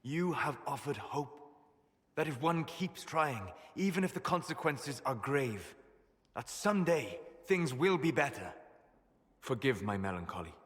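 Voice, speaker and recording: A learned elf mage, grave and measured, mid-pitched voice, long reverb tail on the game audio. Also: male voice, very animated. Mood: melancholy. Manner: measured, somber, lecturing.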